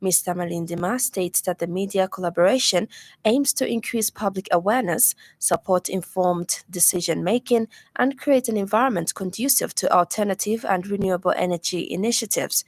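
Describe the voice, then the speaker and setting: A woman news anchor reading a radio news bulletin in a measured, formal delivery from a studio. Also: medium pitch, no echo. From Zambia.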